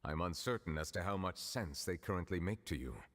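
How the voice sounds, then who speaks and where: An actor playing a composed, scholarly gentleman in a video game, speaking formally, politely and in a measured way. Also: sits low, lively intonation.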